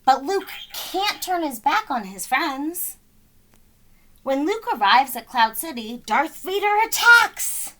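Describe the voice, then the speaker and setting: A woman reads a storybook aloud in a fairly high voice, unhurried and deliberate. She stresses words dramatically, over faint background noise. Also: sing-song, sharp tone. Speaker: storyteller reading a children's book aloud. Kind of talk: expressive scripted read-aloud narration.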